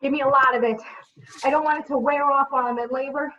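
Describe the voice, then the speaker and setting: An indignant pregnant single woman, acted by a comedienne in a comedy radio play. Her voice is very high and demanding, picked up from a distance with a dull sound. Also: steady tone, quick pace, sharp tone. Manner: dramatic, demanding. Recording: dull, distant livestream microphone.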